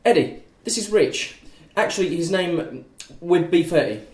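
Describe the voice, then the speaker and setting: A sociable young male party host, played by a man at a table read, wry and exasperated. His voice sits a little high. The room is clearly echoey. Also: expressive, a little slow, a little mellow. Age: young adult. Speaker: student cast member at a table read. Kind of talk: script read-through. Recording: roomy, echoing.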